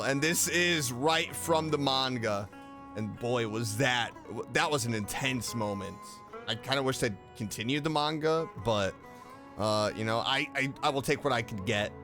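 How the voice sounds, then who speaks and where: A man hosting a reaction video with casual, expressive commentary. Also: medium pitch, unhurried, over music.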